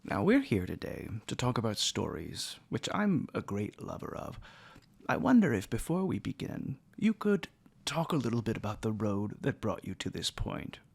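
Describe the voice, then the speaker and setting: Courtly old-world gentleman host, played by a man, low voice. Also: huge pitch swings, normal speed, creaky, slightly rough, dry recording, heavily acted. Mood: courteous, curious. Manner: courtly, ornate, theatrical phrasing. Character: genteel, erudite 18th-century gentleman scholar from the past. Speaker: podcast host. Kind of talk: formal podcast introduction and interview.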